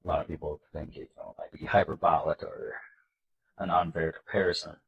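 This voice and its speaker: Low voiced guy w a stuffy nose from a cold, earnest, kinda hesitant, reading slides for his channel. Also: fairly even intonation, fairly quick, gravelly, lo-fi sound. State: congested, nasal from a cold. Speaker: political video host. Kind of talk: casual commentary reading slides aloud.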